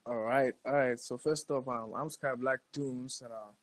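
Cameroonian man, mid-pitched, informal interview guest with slangy, brotherly phrasing. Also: steady tone, normal speed, no echo. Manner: informal, slangy, frequently says bro and man. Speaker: guest, digital artist. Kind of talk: casual interview chat.

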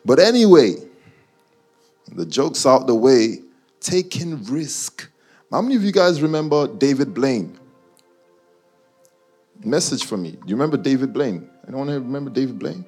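A man's voice: mid-range voice, sing-song, moderate pace, pauses often, darkish tone, husky, soft background music. A man with a London accent chatting casually and slangily to a live audience, teasing his listeners as he goes. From Nigerian, childhood years in Guyana, schooled in the UK. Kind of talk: casual chat with a live audience and online viewers.

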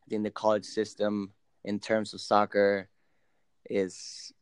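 A man's voice: lowish voice, monotone, measured pace, smooth, no room sound. A man in his late twenties from Argentina, a native Spanish speaker, telling his story casually as a guest in an interview. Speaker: professional soccer player, podcast guest.

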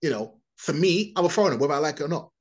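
A man's voice: a little high, lively intonation, racing. A man from England, giving a rambling, opinionated monologue on a podcast, often restarting and repeating his words.

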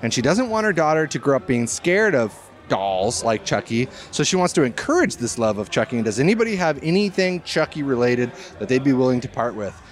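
A man chatting casually as a podcast guest, telling stories in an animated, informal way. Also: medium pitch, a little fast. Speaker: podcast guest, co-host of a film podcast. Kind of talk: casual storytelling chat. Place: on location at a Halloween event.

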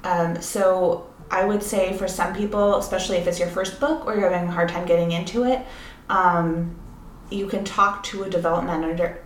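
Lowish-voiced woman in an echoey, noisy room. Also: level tone, moderate pace. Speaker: editor and podcast co-host. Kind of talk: casual podcast conversation. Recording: echoey room with background noise.

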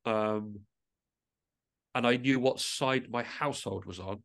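A man's voice: medium pitch, takes it slowly, creaky, a bit bright, clean tone. A middle-aged man speaking as an interview guest in a conversational way, thoughtfully and with hesitant rephrasing. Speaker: guest musician, composer and writer.

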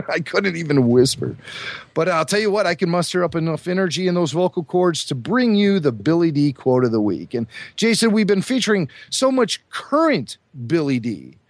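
Hoarse male podcast co-host, chatty and trivia-loving; lively pitch, dry close mic. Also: moderate pace, no echo. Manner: chatty, enthusiastic, fond of trivia. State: hoarse from a cold. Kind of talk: casual chat.

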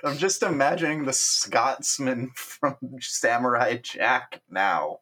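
A man's voice: mid-range voice, expressive, slow pace, pushed and bright. A man co-hosting a podcast in casual chat, self-mocking with absurd jokes and frequent laughter. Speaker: podcast co-host.

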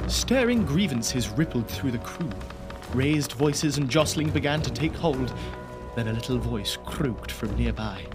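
A man narrating an audio drama like a wry storybook teller, measured and theatrical. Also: medium pitch, animated, lightly acted. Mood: storytelling. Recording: very loud music bed behind.

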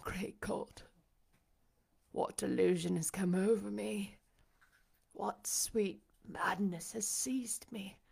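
Woman acting an anguished, imperious blind gentleman. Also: mid-range voice, slow, creaky, pauses often, husky, full character voice. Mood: desperate. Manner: dramatic, demanding, emotional. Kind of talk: audiobook character acting.

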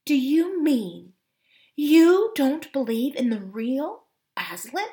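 A woman's voice: sits high, very animated, takes it slowly, warm tone, voice pushed up, heavily acted. A woman voicing a plucky young girl in a storybook, earnest and insistent. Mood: earnest insistence.

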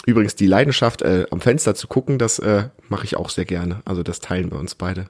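A man around his thirties or forties hosting a casual family podcast, explaining things and gently prompting with questions. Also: low-pitched, fairly quick. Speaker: podcast host, father interviewing his child.

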